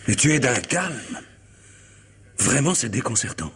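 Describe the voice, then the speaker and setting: A man's voice: mid-range voice, very animated, measured pace, fairly bright tone, a little hiss or hum. An actor playing a nervy, self-pitying middle-aged man in a French radio mystery, fretful and exasperated.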